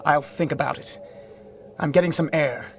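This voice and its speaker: High-voiced brooding, secretive villain played by a man. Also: moderate pace, soft background music, natural voice. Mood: sullen, aloof. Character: brooding, secretive male villain with eerie self-regard. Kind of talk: 1930s-style radio drama acting.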